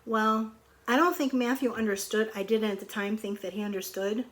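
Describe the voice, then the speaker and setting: A middle-aged woman reads a picture book aloud to young children, pausing to explain simply and ask questions in a conversational way. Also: a little high, steady tone. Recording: clearly noisy home recording.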